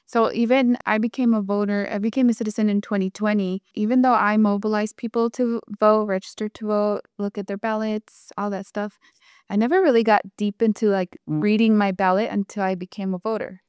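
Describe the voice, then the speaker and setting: A woman's voice: mid-range voice, a little fast, clean tone, no echo, studio quality. Outspoken woman, Spanish first language. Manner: candid, outspoken, animated. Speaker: panel guest, voter organizer. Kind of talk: conversational panel discussion.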